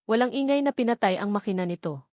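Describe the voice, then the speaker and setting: A woman's voice: mid-range voice, lively intonation, fast, a little mellow, dry recording. Woman narrating drama, dry close sound. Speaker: story narrator. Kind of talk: dramatic scripted storytelling narration.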